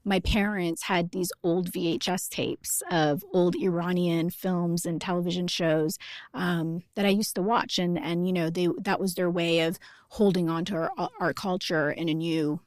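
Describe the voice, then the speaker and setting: A middle-aged Iranian-born woman whose first language is Farsi, telling her life story as a podcast guest in a thoughtful, unhurried way. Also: lowish voice, steady tone, no room sound, studio quality. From Iran. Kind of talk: interview storytelling.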